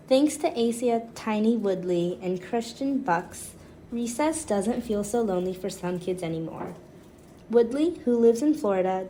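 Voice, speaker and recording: A woman's voice: medium pitch, dark tone. Woman reading aloud over background noise. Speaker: reader for a children's reading program. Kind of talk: read-aloud of nonfiction book notes. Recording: clearly noisy background.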